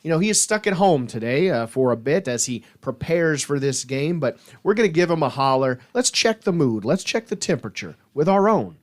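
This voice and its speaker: A man's voice: fairly high pitch, very animated, fairly quick, few pauses. A man hosting a sports podcast, chatting casually with a playful, hyped delivery. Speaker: podcast host.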